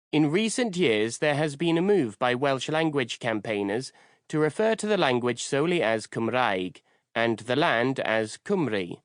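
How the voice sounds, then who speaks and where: A man's voice: medium pitch, no echo. Man reading, dry close sound. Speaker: audiobook narrator. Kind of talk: scripted nonfiction narration.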